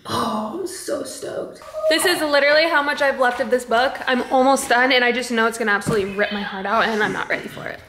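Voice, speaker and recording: Bubbly young woman vlogging, high voice. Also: fast talker. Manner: upbeat, gushing, lots of "like". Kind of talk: casual vlog narration. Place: home and patio, indoors and out. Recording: echoey with clear background noise.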